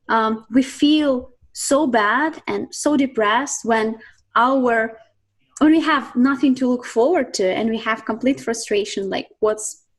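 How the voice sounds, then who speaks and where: A woman from Siberia, a guest talking reflectively about her life and work, often pausing with ums and restarts. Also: fairly high pitch. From Russia (Siberia). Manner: reflective, thinks aloud with many ums and restarts. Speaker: guest, mindset coach. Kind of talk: casual interview talk.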